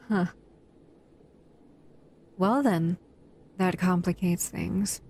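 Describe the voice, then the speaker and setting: A woman voicing a bored, predatory female humanoid monster who talks in a slow, teasing, condescending way in an audio roleplay. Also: lowish voice, warm tone. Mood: curious, bored.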